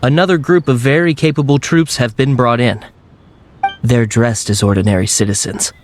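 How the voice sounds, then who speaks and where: A man's voice: mid-range voice, steady tone, moderate pace, slight background noise, natural voice. A man voicing a dutiful city guard in a video game, formal, assured and reassuring. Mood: serious. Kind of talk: video game character acting.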